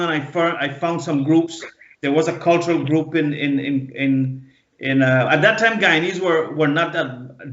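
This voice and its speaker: A man's voice: a little high, a bit bright. Earnest man in his fifties, echoey dull audio. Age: 50s. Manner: halting, earnest, often repeats and restarts words. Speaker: panel guest. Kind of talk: personal recollection in a panel discussion.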